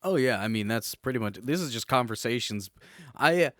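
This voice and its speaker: A man's voice: mid-range voice, lively intonation, a little fast, a bit bright, no echo, clean studio sound. A man co-hosting a podcast in casual, chatty banter, jokey and prone to rambling. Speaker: podcast co-host.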